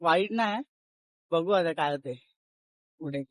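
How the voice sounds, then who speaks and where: A man's voice: fairly high pitch, a little slow, no echo. A young man chatting casually and teasingly to his vlog audience, switching languages. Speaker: vlogger. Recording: clearly noisy outdoor handheld recording.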